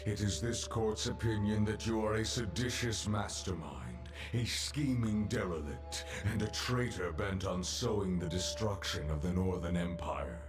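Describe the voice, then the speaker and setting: An actor playing a stern, imperious judge in a video game, speaking formally and solemnly, as if pronouncing sentence. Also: male voice, deep voice, a little slow, few pauses, music in the background. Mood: grave judgment. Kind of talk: dramatic video game voice acting.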